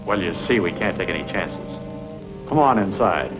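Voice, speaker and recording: An actor playing a menacing crook in an old western film, smooth-talking with a threatening edge. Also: male voice, sits low, animated, moderate pace. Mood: coaxing. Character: menacing male crook coaxing and threatening a boy. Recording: muffled vintage film soundtrack, music underneath.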